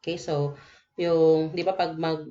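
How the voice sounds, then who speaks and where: Casting: Filipino woman, lowish voice, informal lecturer who hesitates and seeks agreement as she explains; dull lo-fi sound. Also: little pitch movement, a little slow, mellow. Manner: code-switching, hesitant, frequently checks understanding with tag questions. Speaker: accounting lecturer. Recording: dull, lo-fi voice recording.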